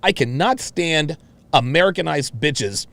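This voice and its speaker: A man's voice: on the high side, lively intonation, unhurried, gravelly, a bit bright, no echo. A man hosting a reaction show, commenting on a clip in a brash, mocking, profane and repetitive manner.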